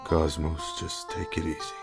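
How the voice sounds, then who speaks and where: A man's voice: rumbling low, little pitch movement, normal speed, gravelly, over music. A man playing a protective, skeptical and teasingly secretive husband and father in an audio drama. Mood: concerned.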